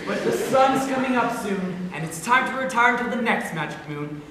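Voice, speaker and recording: A man's voice: extremely high pitch, animated, moderate pace, a crowd in the background. A man playing an earnest, hopeful young late-night radio host in a stage play. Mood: rousing, hopeful. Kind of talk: theatrical rhyming radio sign-off. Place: stage play recorded in a hall. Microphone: distant camera or room microphone. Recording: very echoey hall, distant mic, noisy.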